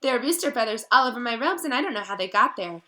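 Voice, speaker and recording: A woman voicing a frightened young girl confessing to her diary, rushed and pleading. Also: a little high, expressive, bright, forceful tone, pitched above the performer's own voice. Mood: frantic.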